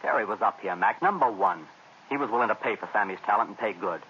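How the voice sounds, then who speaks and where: An actor playing an excitable young jazz band drummer who talks in eager, chatty jive slang, in 1940s radio acting. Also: male voice, fairly low pitch, quick pace, lightly acted. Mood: gossipy. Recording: old radio broadcast, dull with faint hiss.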